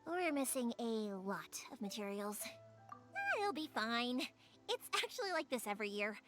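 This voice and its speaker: A woman's voice: on the high side, lively intonation, moderate pace, a bit bright. A woman voicing a cheerful, chatty young fireworks maker in an anime game, bubbly and eager. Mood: earnest. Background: game music.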